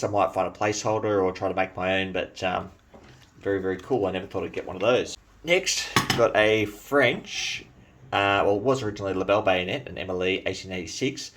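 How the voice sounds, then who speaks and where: A man's voice: lowish voice, fairly bright tone. A man from New Zealand with an Australian accent, chatting enthusiastically as a collector showing his collection in a casual vlog, with frequent fillers. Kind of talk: casual show-and-tell vlog.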